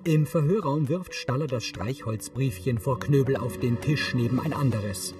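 A man's voice: medium pitch, normal speed, over music. A man reading scripted audio description in a factual, concise manner. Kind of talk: scripted present-tense narration.

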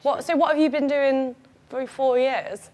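A woman's voice: high-pitched, fairly even intonation, unhurried, darkish tone, a little hiss or hum. Blunt giggly young woman. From South London. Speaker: blindfolded dating show host. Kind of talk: casual cheeky interview.

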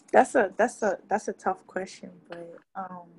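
A woman's voice: mid-range voice, slight background noise. Hesitant teen girl on a video call. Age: teens. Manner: hesitant, halting with fillers. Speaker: guest. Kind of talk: casual video call chat. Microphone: phone on video call.

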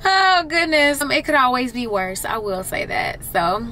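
A woman in her thirties chatting casually to camera, telling everyday stories in an animated, conversational way. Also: high-pitched, normal speed. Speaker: vlogger. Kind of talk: casual vlog chat to camera. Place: inside a parked car. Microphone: camera at arm's length. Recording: noisy background hum.

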